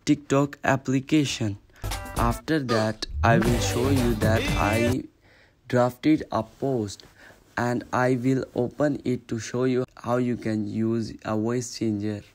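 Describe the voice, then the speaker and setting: Slow-paced man narrating a tutorial. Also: medium pitch. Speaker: tutorial presenter. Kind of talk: step-by-step app tutorial narration.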